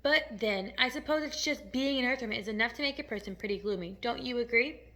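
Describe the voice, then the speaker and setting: Woman voicing a polite, high-voiced lady ladybug. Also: a little fast, sharp tone. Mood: confiding. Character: polite, sympathetic female ladybug.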